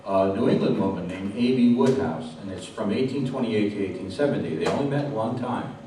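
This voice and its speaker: A man's voice: on the low side, fairly quick, warm tone. A man hosting an interview, explaining things informally to a live audience with a wry, joking manner. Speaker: interviewer, host. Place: public audience question session in a room. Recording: very echoey room, distant mic, clear noise.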